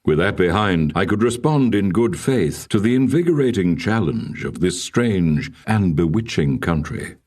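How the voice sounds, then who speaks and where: A man narrating a first-person literary memoir as an audiobook reader, in a measured, formal and expressive storytelling manner. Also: low-pitched, distant mic.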